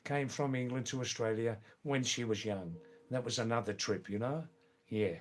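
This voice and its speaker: An older man with an Anglo-Indian accent hosts a relaxed live stream and answers viewers one by one. His talk rambles along, full of ums, restarts and friendly asides. His pitch sits in an ordinary middle range. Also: moderate pace. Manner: rambling, chatty, many fillers and restarts. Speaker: livestream host. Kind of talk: casual live-stream chat reading viewer comments.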